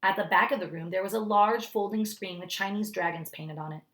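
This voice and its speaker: A woman reading a children's story aloud like a teacher, expressively, pausing to explain things to young listeners. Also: medium pitch, a bit bright. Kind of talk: children's story read-aloud with brief asides.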